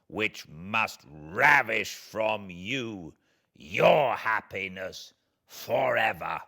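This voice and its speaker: A man acts out a wretched, vengeful monster from a gothic storybook. The voice is low and forceful, drawing out each threat very slowly. The delivery is eloquent, declamatory and full of menace. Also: vocal fry, exaggerated voice. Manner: declamatory, threatening, drawn-out words. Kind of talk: dramatic audiobook reading of classic novel.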